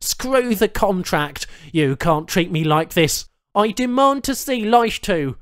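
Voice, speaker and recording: A weak male captive in an audiobook story, played by a man, in pain yet defiant. The voice is pushed up high and strained, every word costing effort, in a dry recording. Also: expressive, a bit bright, no room sound, full character voice. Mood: angry, demanding. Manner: mumbling, gasping, slow and effortful. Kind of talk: audiobook character acting.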